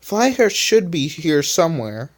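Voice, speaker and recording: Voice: medium pitch, big pitch swings, a little slow, pitched above the performer's own voice. A reader voicing an earnest young male hero who explains things and pleads with his father. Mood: explaining. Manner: urgent, emotional.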